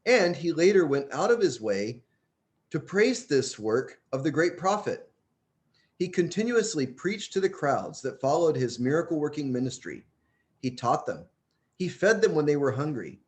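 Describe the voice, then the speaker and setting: A man's voice: fairly high pitch, very animated, moderate pace. A man reading a religious book aloud in an earnest, emphatic way, stressing key phrases. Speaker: audiobook reader.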